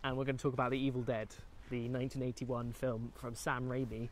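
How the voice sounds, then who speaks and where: A man's voice: medium pitch, flat delivery, brisk. A young British man chatting casually and jokily outdoors as he co-hosts a film vlog on a walk. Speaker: co-host of a film discussion vlog. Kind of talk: casual chat while walking. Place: outdoors, walking in woods and a golf course.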